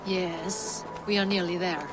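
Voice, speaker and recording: A woman playing an earnest, loyal, steady Viking-era character in a dramatic video game. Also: fairly low pitch, measured pace, over loud music, close to an everyday voice, poor audio. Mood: concerned.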